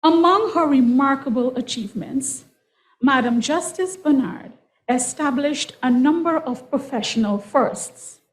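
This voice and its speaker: A woman's voice: high-pitched, a little slow, rough recording. A woman reading out a formal citation in a ceremonial, carefully enunciated manner. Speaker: university lecturer reading a citation.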